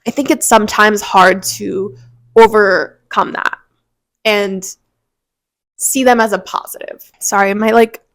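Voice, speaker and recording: A woman's voice: medium pitch, steady tone, slow pace, a bit bright, dry recording, clean studio sound. A woman hosting a motivational podcast, talking earnestly and encouragingly straight to her listeners, a little congested. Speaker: podcast host.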